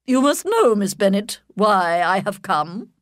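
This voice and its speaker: A woman reading aloud voices a haughty older aristocratic lady who speaks in a slow, emphatic, imperious way. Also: medium pitch, big pitch swings, no room sound, studio quality. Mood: angry.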